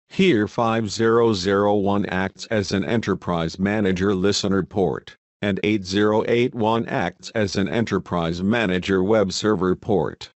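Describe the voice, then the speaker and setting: A man reading a scripted instructional narration in a measured, procedural manner, as a tutorial voice-over. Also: fairly low pitch, no echo.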